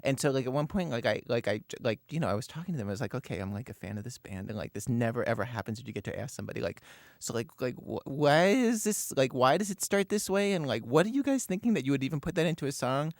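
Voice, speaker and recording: A man telling an anecdote in casual chat, halting and circling back over his words. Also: mid-range voice, very animated, no room sound, clean studio sound. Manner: halting, repeats himself mid-thought.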